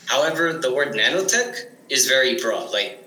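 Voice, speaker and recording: Eager teenage boy, bright voice. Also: mid-range voice, expressive, moderate pace, echoey room, low-quality recording. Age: teens. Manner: eager, restarts often, many likes and ums. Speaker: guest. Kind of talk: casual interview answers.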